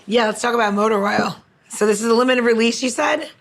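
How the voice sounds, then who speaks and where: A woman's voice: fairly high pitch, fast, a little hiss or hum. A woman co-hosting a podcast in casual chat, speaking in an informal, unfiltered, slangy way. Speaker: podcast co-host.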